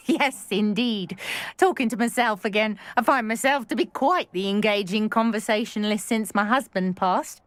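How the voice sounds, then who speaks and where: A woman's voice: mid-range voice, moderate pace, no echo, natural voice. A woman playing a chatty elderly widow in a wizarding game, speaking in a genteel, wordy storytelling way. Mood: chatty, intrigued. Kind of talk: video game character acting.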